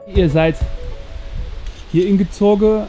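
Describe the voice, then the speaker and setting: A man's voice: sits high, steady tone, slow, music in the background. A man with a Belgian accent asking casual questions as an on-location interviewer.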